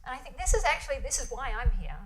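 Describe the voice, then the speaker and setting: A woman with an Australian accent delivers a guest lecture in a quiet, slightly high voice. She often restarts her sentences and keeps asking "right?" to her audience. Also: very animated, very fast, slight background noise. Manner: quiet, self-interrupting, rhetorical questions with "right?". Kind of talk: university lecture. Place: lecture hall.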